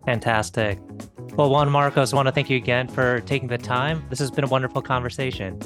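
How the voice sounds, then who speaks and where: A man's voice: mid-range voice, steady tone, brisk, over music. A man hosting an interview podcast, asking short, polite questions. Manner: brief, polite, wrapping up. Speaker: podcast host.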